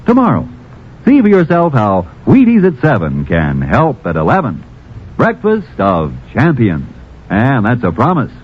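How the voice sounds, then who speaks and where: A man's voice: medium pitch, breathy edge. An American man announcing a 1950s radio advertisement in a folksy, emphatic sales style. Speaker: commercial announcer. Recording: muffled vintage radio broadcast.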